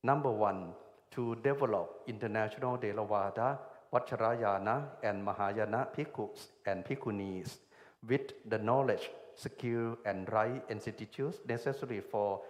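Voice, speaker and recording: A man's voice: lowish voice, unhurried. Formal Thai-accented man in an echoey hall. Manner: deferential, formal. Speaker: programme organizer presenting a report. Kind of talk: formal ceremonial speech read from a script. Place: large hall at a ceremony. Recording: echoey hall with long reverb.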